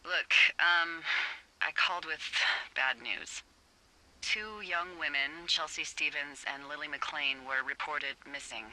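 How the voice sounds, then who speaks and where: A woman's voice: low voice, slow, bright, forceful tone, heavily acted, poor audio. An actress playing a wry, teasing middle-aged female fire lookout supervisor in a video game, American, talking playfully and conversationally over a two-way radio. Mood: sober. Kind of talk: naturalistic video game dialogue.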